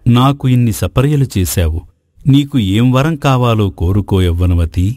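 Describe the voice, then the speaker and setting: A man's voice: mid-range voice, animated, normal speed, warm tone, no room sound, studio quality, natural voice. A man playing a greedy, courtly ascetic sage in a moral folk tale, with formal, theatrical phrasing. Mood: curious, gracious. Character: flattering, greedy male ascetic sage, courtly and self-serving.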